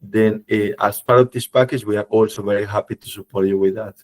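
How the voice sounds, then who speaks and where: Low-voiced man hosting a webinar. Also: fairly even intonation, a little fast, a little mellow. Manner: non-native phrasing, frequent "uh" and "right?". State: low, tired voice after travel. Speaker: company head presenting a webinar. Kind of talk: webinar presentation. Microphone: video call.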